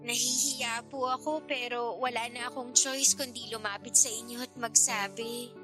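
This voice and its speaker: Woman doing high young niece in radio drama, shy n sorry, pleading, music behind. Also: steady tone, moderate pace, natural voice. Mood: hesitant, ashamed. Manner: hesitant, deferential, pleading. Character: apologetic young niece, fresh senior high graduate, worried and respectful.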